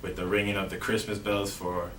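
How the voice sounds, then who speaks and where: A young man from Miami, a college baseball player, answering interview questions in a relaxed way with lots of "you know". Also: deep voice, brisk. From Miami, Florida. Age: young adult. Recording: roomy, distant mic with faint noise.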